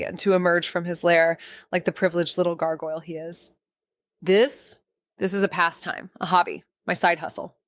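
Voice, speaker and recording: Wry, low-voiced young woman acted, noir drama. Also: clear, resonant tone, dry recording, lightly acted. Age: young adult. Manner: wry, sarcastic, slangy. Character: sardonic, restless young woman, small-town dropout amateur sleuth. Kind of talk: first-person noir audio drama narration with dialogue.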